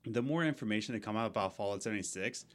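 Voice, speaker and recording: Young male podcast co-host, slightly low voice, loose rambling delivery with fillers. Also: rapid-fire. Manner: rambling, many fillers and restarts. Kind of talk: casual gaming podcast chat.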